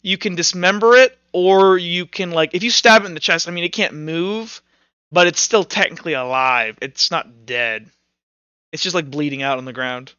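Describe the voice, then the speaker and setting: A man with a high, crisp voice acts as game master for a tabletop roleplaying game. He improvises in an informal, halting way, thinking aloud as he goes. The recording is dry and slightly dull. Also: bright, forceful tone, dry recording.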